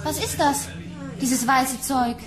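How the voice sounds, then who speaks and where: A woman's voice: high voice, fairly even intonation, slow, music in the background. A woman playing a detached, melancholy female android in a German radio drama.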